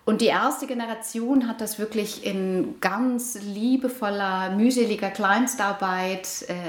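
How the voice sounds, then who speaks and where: A woman in her forties, born in Iran, answering interview questions thoughtfully with many pauses and restarts. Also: medium pitch. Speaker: interviewee, housing project resident. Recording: roomy echo.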